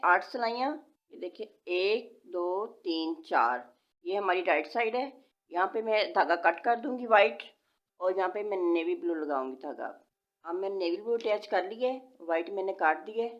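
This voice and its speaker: A woman's voice: medium pitch, animated, moderate pace. A woman, patiently giving step-by-step knitting instructions and counting stitches aloud. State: sore throat, voice slightly changed. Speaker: knitting tutorial host. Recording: dull lo-fi home recording.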